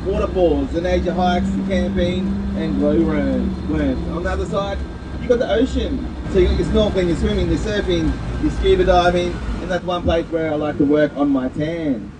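A man's voice: sits high, moderate pace. A man with an Australian accent giving chatty, informal live commentary as a tour guide. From Gold Coast, Australia. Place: on a moving amphibious tour vehicle. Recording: distant mic, clear vehicle and outdoor noise.

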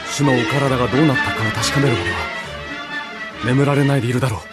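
A man's voice: medium pitch, rapid-fire, over loud music, close to an everyday voice. A man playing a reflective, devout disciple on a journey in a radio drama, speaking earnestly. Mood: wary. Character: reflective, devout male disciple, Cleopas's travelling companion.

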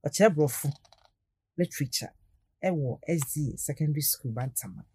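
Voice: medium pitch, moderate pace, a little mellow, breathy edge. A radio talk show host, welcoming guests and often murmuring agreement. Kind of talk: casual radio chat.

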